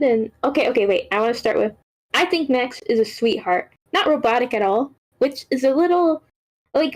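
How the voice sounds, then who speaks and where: High-voiced woman giving casual feedback. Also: darkish tone, poor audio. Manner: informal, rambling, frequent "like" and swearing. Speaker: participant giving script feedback. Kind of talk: casual spoken critique.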